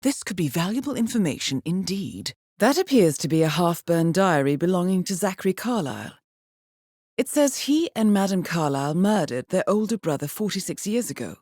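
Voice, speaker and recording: An actress playing a composed, articulate intelligence handler in a video game, briefing an assassin in a measured, precise, formal way. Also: female voice, fairly low pitch, a little mellow, dry recording, clean studio sound. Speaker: mission handler.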